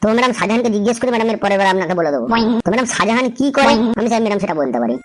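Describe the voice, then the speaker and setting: A man's voice: high-pitched, expressive, racing. A man voicing a mischievous schoolboy friend in a comic cartoon, giving smart-alecky answers.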